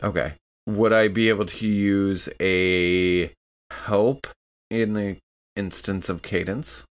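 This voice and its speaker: A man's voice: low-pitched, fairly even intonation, slow pace. A man playing in a tabletop roleplaying podcast, chatting casually and reacting with short exclamations. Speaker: player on a tabletop game podcast. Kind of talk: casual in-game chat with exclamations.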